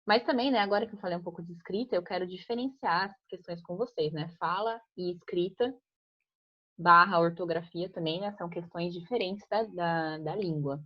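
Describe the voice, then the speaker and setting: A young woman talks her class through a grammar lesson in a relaxed, chatty way, tagging her points with "né?". Her voice sits a little low, and the recording sounds muffled and lo-fi. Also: normal speed. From Piracicaba, São Paulo state, Brazil. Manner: conversational, frequent "né?" tags and restarts. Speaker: teacher. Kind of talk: informal online grammar class. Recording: muffled lo-fi audio.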